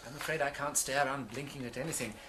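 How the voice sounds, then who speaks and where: An actor playing a mild, shrewd English priest in a radio drama, with a British accent and a polite, hesitant, gently probing manner. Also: male voice, medium pitch, fairly even intonation, fast talker, noisy background, natural voice. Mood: curious, hesitant.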